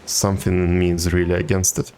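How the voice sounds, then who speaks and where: A man livestreaming a video game and chatting casually with viewers, halting now and then and repeating words. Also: sits low, monotone, darkish tone, slight background noise. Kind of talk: casual chat with viewers while gaming. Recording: game music behind the voice. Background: video game music.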